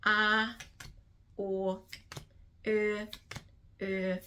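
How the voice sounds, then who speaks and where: A woman's voice: mid-range voice, little pitch movement, extremely slow, bright, forceful tone, dull audio, slight background noise. A woman with a New Zealand accent teaching phonics, carefully sounding out each letter sound in a structured tutorial. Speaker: literacy teacher. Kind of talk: slow instructional phonics tutorial.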